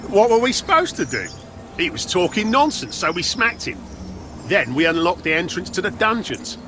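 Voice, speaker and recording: High-voiced, frightened guardsman. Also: sing-song, normal speed, noisy background. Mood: shaken. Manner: urgent, blunt. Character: frightened, rough-spoken male dungeon guard reporting horrors. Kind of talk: dramatic video game cutscene acting.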